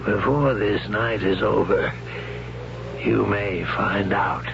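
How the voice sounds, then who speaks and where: A solemn mentor to young warriors in a 1970s radio drama. The voice is lowish and very slow, deliberate and probing. The old broadcast sound is dulled. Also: male voice, fairly even intonation, vocal fry, over loud music. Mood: grave. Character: grave male mentor of warriors, named Death. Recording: dull, noisy old radio recording.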